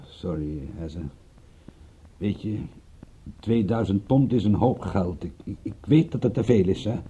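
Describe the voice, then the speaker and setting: A man acting in a radio play as a stubborn, irritable private detective, gruff and curt, with a wry, principled streak. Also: on the low side, measured pace, mellow, muffled recording, lightly acted. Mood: sincere, determined.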